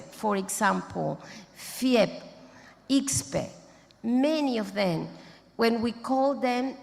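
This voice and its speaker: A woman, not a native English speaker, giving a formal closing speech at a conference, halting and restarting mid-phrase as she thanks organizers and names board members. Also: fairly high pitch, gravelly. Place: large conference hall. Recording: long hall reverb.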